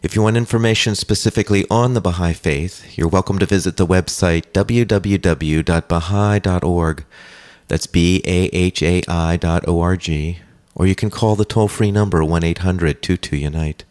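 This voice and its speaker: A man hosting a radio interview program, introducing the show and asking questions in a calm, steady announcing manner. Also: low voice, normal speed. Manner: calm, steady announcing, with brief back-channel replies. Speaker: radio host.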